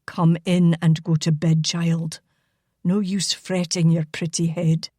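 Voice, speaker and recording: A stern older father, voiced by a female narrator, paternal and firm. He chides gently in an audiobook character read, recorded close and dry. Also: fairly low pitch, level tone, takes it slowly, dry recording, pitched below the performer's own voice. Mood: chiding, indulgent. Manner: firm, chiding.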